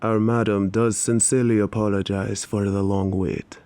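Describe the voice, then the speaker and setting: A mellow, lowish-voiced servant of a crime family as a male voice actor plays him. He is formal and deferential, welcoming in audio drama style. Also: little pitch movement, normal speed, no echo, natural voice. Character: courteous male mafia servant greeting guests formally. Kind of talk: scripted audio roleplay acting.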